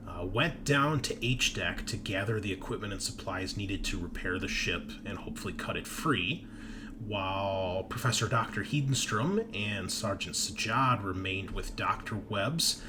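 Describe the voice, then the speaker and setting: A man speaking as game master, recapping a tabletop roleplaying session in informal storytelling with dramatic emphasis. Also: fairly low pitch. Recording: music bed under the voice. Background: background music.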